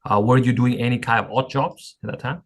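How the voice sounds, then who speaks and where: A man's voice: medium pitch, fairly even intonation, a little fast. A man hosting an interview podcast as a job coach, introducing his guest and asking questions. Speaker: host, job coach and company founder.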